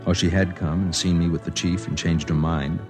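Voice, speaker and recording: An actor as a very deep-voiced, brooding man. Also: fairly even intonation, a little fast, close to an everyday voice. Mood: somber narration. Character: brooding, principled man, gentle narrator of his own story. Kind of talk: 1950s radio drama acting and first-person narration. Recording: vintage radio drama recording with music behind.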